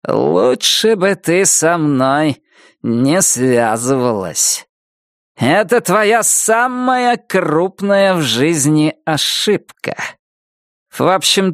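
A woman voicing a spiteful, furious middle-aged woman who threatens slowly and deliberately. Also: sits low, very animated, dry recording, studio quality, voice pushed up, heavily acted. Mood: seething threatening. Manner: slow, deliberate, seething menace. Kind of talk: audiobook character voice.

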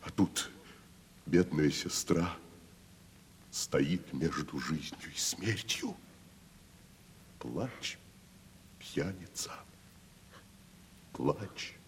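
Radio drama: tormented boozy wandering player, bass register, theatrical and remorseful, slight hiss on the tape. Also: male voice, animated, heavily acted. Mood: bitter remorse. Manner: declamatory, anguished, dramatic pauses, drops into whispers. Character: grandiose, tormented drunken wandering actor, self-reproaching brother. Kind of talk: theatrical Russian radio play acting. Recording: faint hiss of 1970s radio recording.

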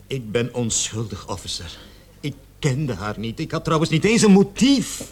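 Radio play suspect: pleading and nervous, very breathy husky voice, hugely varied intonation. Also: male voice, mid-range voice, big pitch swings, normal speed. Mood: anxious, pleading. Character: anxious male suspect pleading innocence to police.

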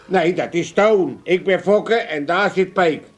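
A man's voice: high-pitched, measured pace. An actor playing a cantankerous, bossy old Amsterdam father in a comedy radio play, grumbling and impatient. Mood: irritated. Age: older. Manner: grumbling, impatient, working-class wisecracking. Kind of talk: comedic radio drama acting.

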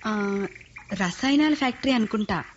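An actress playing Chandra, a cautious woman guarding her family land, who speaks calmly and skeptically. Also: mid-range voice, normal speed, lightly acted. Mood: wary. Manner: calm, skeptical. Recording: dull lo-fi radio recording with faint hiss.

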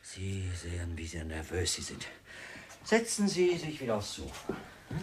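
A man's voice: low-pitched, wildly swooping pitch, moderate pace. An actor playing a suspicious, coercive man in a German radio crime drama, outwardly polite and controlled, with a falsely soothing, commanding manner. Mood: menacing, falsely soothing. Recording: clearly noisy radio drama recording.

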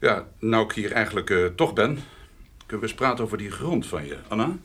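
An actor playing a pompous, wheedling village notary in a radio play, patronising and sometimes hesitant. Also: male voice, on the low side, lively intonation, moderate pace, a bit bright, a little hiss or hum.

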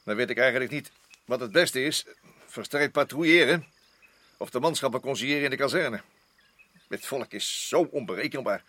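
A hard-nosed, worried garrison officer in a radio drama. He is a man with a voice of middling pitch. He speaks with urgency and emphasis, repeating words as he weighs things up. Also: normal speed, pushed and bright, dry recording. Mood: grave.

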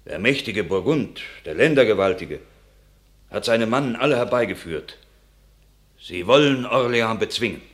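An earnest country man in a classic radio play, carrying grim news of war. His voice is very deep, and he tells his report with dramatic weight. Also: lively intonation, normal speed. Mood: alarmed.

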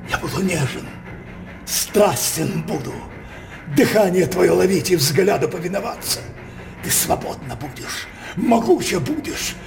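An actor playing a brooding older king obsessed with his daughter, declaiming theatrical verse in a halting, impassioned manner for a radio play. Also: high voice, big pitch swings, creaky, music in the background, exaggerated voice. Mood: feverish, pleading.

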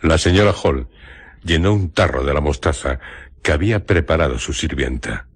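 A man narrating a radio drama in a scripted literary style, delivering it in measured, separate phrases. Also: very deep voice, lively intonation, breathy edge.

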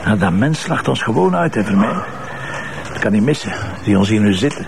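A grumbling, sarcastic Flemish police commissioner in a crime drama. His voice is low and gravelly, and it moves up and down in lively fashion. Faint noise sits behind him. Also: brisk. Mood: suspicious, irked. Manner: sarcastic, colloquial. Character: sardonic, grumbling male police commissioner, middle-aged. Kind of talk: naturalistic TV crime drama acting.

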